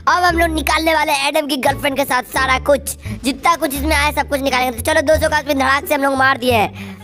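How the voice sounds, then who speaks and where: A woman's voice: quick pace, fairly bright tone. A woman's voice run through a voice changer, hosting casual gameplay commentary, chatty and repeating phrases. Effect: voice changer raising the pitch. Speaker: gaming video host. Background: background music.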